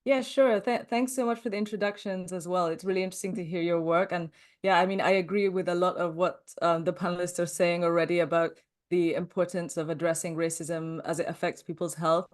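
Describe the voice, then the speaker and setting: A woman's voice: lowish voice, flat delivery, brisk. A woman researcher speaking on a panel, fluent and articulate. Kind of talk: panel discussion.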